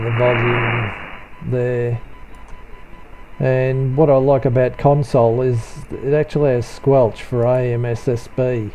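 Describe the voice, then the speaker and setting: Low-key guy doing a software walkthrough, really slow and hesitant, dark relaxed voice. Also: rough recording. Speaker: software tutorial presenter. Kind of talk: unscripted screen tutorial voice-over.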